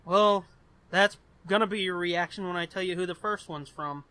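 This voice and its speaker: A man's voice: high-pitched, moderate pace, sharp tone. A man co-hosting a podcast in casual comedic banter, crude and sardonic, swearing freely. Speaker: podcast co-host.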